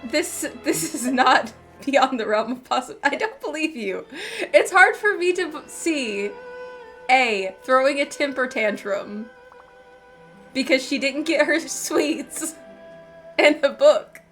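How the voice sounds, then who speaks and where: A woman's voice: sits high, animated, slow pace, fairly bright tone. A woman streaming a game, giving casual, playful, chatty commentary as she reacts to the story, with game music behind her.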